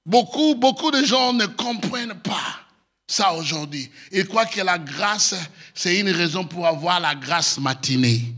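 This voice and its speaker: A male pastor, a native English speaker, preaching a sermon in French with impassioned shouts and sing-song cadences. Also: high voice, lively intonation, unhurried.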